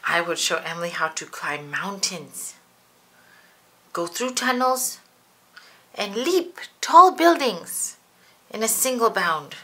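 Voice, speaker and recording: A woman's voice: medium pitch, very animated, slow, pauses often, bright, forceful tone, heavily acted. A woman voicing an eager, boastful little blue male monster in a storybook read-aloud. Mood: proud.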